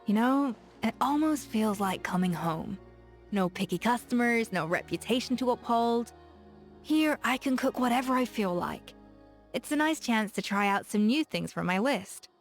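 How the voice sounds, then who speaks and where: A woman's voice: on the high side, normal speed. An actress playing a confident, playful young woman chef in a game, self-assured and curious, with faint music behind her. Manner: self-assured, teasing, lively. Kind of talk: game character voice lines.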